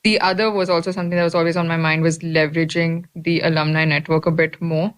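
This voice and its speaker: A woman's voice: sits low, flat delivery, quick pace, smooth. A young woman, an MBA student co-hosting a podcast, who lays out her ideas point by point in a thoughtful, casual way.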